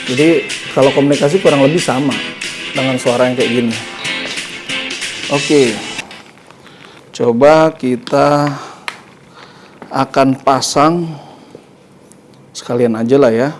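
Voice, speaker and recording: A man hosting a casual hands-on motorcycle gear review, informally thinking aloud as he demonstrates. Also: mid-range voice. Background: music.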